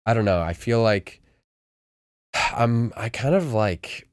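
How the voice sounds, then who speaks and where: A man's voice: fairly low pitch, lively intonation, slow, no room sound, clean studio sound. A man chatting casually in a laid-back, slangy way as an interview guest. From California. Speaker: guest, music teacher. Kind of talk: casual chat.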